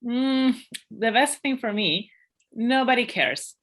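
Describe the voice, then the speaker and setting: A woman's voice: a little high, animated, slow, a bit bright. Woman, 30s, from Peru, Spanish accent, non-native English, chatty, laughs often, restarts sentences. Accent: Peruvian Spanish. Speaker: podcast guest. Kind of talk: casual interview chat.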